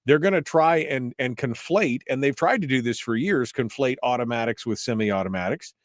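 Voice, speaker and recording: A man's voice: medium pitch, normal speed, dry recording, studio quality. A man hosting a political talk radio show, talking conversationally and often restarting his phrases for emphasis.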